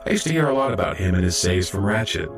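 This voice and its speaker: A man's voice: sits low, animated, fast talker, over music. A man playing an earnest young robot trainee medic who narrates his story in an audio drama. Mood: earnest, reflective. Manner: earnest, explanatory.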